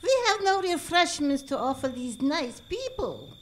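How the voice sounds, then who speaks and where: A woman's voice: high voice, sing-song, a little slow, fairly bright tone, heavily acted. A woman playing a solemn, devoted servant of a mad scientist in a comic radio play, melodramatic and subservient.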